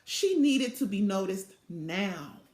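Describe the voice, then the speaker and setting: Woman, middle-aged, storybook reading, unhurried pace, animated melody, roomy sound. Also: medium pitch. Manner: expressive, deliberate storytelling. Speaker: literacy program co-chair reading aloud. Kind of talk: children's storybook read-aloud. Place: small indoor office or home room. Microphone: device mic at arm's length. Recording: echoey room sound.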